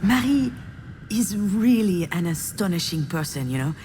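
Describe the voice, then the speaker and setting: An actress playing the troubled, wry adult daughter of an artist, speaking introspective, dramatic dialogue in a video game. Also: female voice, medium pitch, very animated, a little slow, a little mellow, slight background noise. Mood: bittersweet, pensive. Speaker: video game protagonist and narrator. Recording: music behind the voice.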